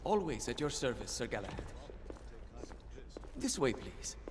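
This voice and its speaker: A man playing a proud, eccentric Victorian inventor in a video game, speaking politely and precisely with gentle hesitations. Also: mid-range voice, sing-song, unhurried, noisy background. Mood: polite, curious. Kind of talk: video game cutscene acting.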